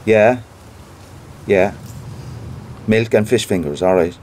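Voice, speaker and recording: A man's voice: on the low side, slow pace, a little mellow, slight background noise. An actor playing a gossipy, self-important small-time theatre man with an Irish Cork accent, chatty and boastful in a naturalistic audio drama. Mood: distracted.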